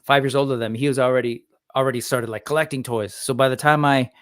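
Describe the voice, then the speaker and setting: A man in his forties hosting a casual chat, talking in a relaxed, rambling way with many fillers. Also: medium pitch, fast, no echo, clean studio sound. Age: 40s. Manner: relaxed, chatty, lots of fillers and restarts. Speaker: host. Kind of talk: casual chat on a live stream.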